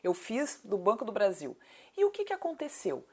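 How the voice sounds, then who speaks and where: A woman in her late thirties with a southern Brazilian accent and a mid-pitched voice. She speaks conversationally as an interview guest. She makes her points emphatically, repeating them and posing rhetorical questions. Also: wildly swooping pitch, moderate pace, breathy edge. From Paraná, southern Brazil. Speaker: city council candidate, interview guest.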